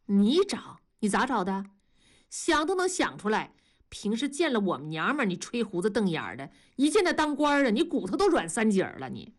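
A woman's voice: on the high side, big pitch swings, brisk. A woman voicing a worker's brash, nagging wife in an audiobook, scolding and demanding in a confrontational way.

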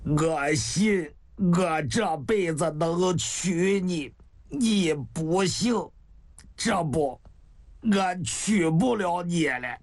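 A woman storyteller voicing a wounded, dying man in an audio drama, weak and halting, wry and tender in his resignation. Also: fairly low pitch, mellow, pitched below the performer's own voice. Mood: weak, resigned.

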